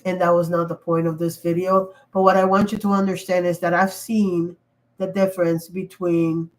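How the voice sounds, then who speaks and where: A woman's voice: lowish voice, flat delivery, moderate pace, poor audio. A woman hosting a casual livestream while sick and losing her voice, talking in an emphatic, rambling way with frequent restarts. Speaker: livestream host. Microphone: computer mic.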